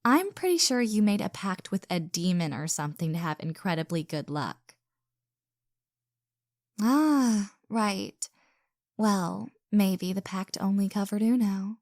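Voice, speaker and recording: A woman's voice: mid-range voice, expressive, a little slow, darkish tone, no echo, studio quality. A woman acting a caring, flustered young woman with a crush in an intimate roleplay audio drama, speaking hesitantly and earnestly with stammers. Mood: playfully exasperated.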